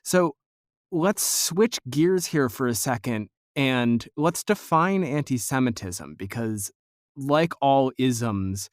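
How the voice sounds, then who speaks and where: A man's voice: mid-range voice, expressive, slow pace, no echo, clean studio sound. A man hosting a conversational podcast interview, explaining things in an organized way.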